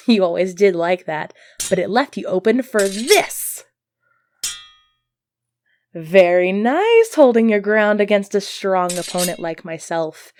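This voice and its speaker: Woman as female knight, formal old-fashioned speech, polite, easily flustered, measured pace, mid-pitched, ASMR roleplay. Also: lively intonation, darkish tone, slight background noise. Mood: playful, confident. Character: earnest, flustered female medieval knight, devoted to her prince.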